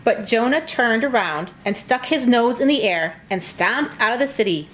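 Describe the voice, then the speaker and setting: A high-pitched woman narrates a children's story in simple, clearly phrased read-aloud style. The recording carries noticeable background noise and room ambience. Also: animated, normal speed, fairly bright tone, low-quality recording. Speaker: narrator of a children's church puppet show.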